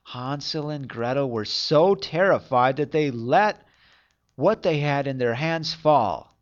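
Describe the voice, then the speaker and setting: A man's voice: medium pitch, lively intonation, takes it slowly, dull audio. A man narrating a fairy tale as a storyteller, reading expressively.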